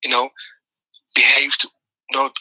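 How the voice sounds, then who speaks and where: A man talks through his life story as an interview guest. His voice is very bright and forward, and the recording is dry and close. He tells it animatedly, stumbling and repeating words as he finds his point. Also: medium pitch, completely flat delivery, measured pace, no room sound, rough recording. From Nigeria. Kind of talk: conversational interview.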